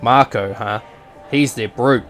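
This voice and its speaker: A man's voice: medium pitch, takes it slowly, a bit bright, soft background music. A man voicing a cool, self-assured young tournament fighter in a visual novel drama, laconic and nonchalant. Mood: thoughtful, cool.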